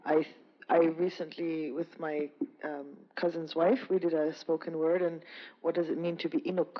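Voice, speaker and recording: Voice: medium pitch, little pitch movement, moderate pace. A panelist in a conversation who hesitates and repeats words before finishing a thought. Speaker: panelist, artist. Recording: muffled, dull sound.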